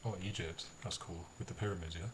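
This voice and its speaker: Friendly London man, low voice, easygoing small talk, faint hiss behind. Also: fairly even intonation, quick pace. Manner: friendly, chatty, laughs easily. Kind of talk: casual chat.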